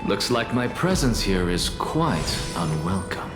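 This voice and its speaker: A man's voice: sits low, very animated, slow, exaggerated voice. A man playing an arrogant scholar in a fantasy game, speaking formally with dry, sardonic wit. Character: arrogant, sardonic male scholar and professor, blasphemous. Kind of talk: fantasy video game acting. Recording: echoey reverberant hall with music behind.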